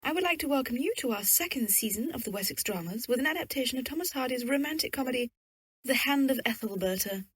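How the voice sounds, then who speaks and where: A woman's voice: sits high, expressive, quick pace, slightly rough, no room sound. A woman host giving a scripted introduction to listeners of an audio drama.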